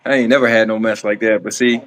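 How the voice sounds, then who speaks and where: A man's voice: lowish voice, steady tone, quick pace. A middle-aged man in casual banter, emphatically scolding and ribbing with repeated phrases. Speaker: livestream panelist.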